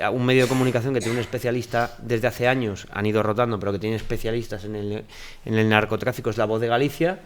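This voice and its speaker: Guy on a panel mic, earnest, keeps restarting words, smooth clear voice. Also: mid-range voice. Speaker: journalist panelist. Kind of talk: panel discussion. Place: lecture hall.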